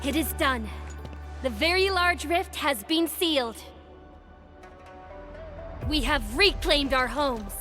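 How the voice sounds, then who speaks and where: A woman's voice: high-pitched, lively intonation, slow pace, pauses often, bright, forceful tone, soft background music, full character voice. A woman voicing an earnest video game heroine in a solemn, declarative way. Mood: solemn triumph.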